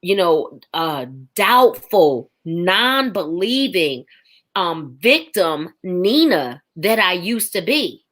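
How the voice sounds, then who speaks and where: A woman's voice: lowish voice, very animated, slow, fairly bright tone. A woman in her forties giving a faith testimony as a podcast guest, emphatic, with a preaching rhythm and repeated phrases. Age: 40s.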